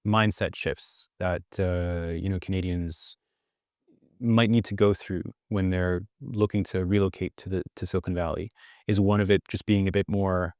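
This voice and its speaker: A man's voice: deep voice, fairly even intonation, normal speed, darkish tone, no room sound, clean studio sound. A man hosting an interview podcast, asking conversational questions in a tentative, roundabout way.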